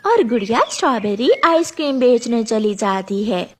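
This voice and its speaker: High, lively woman storyteller. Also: moderate pace. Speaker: narrator. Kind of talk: scripted children's story narration.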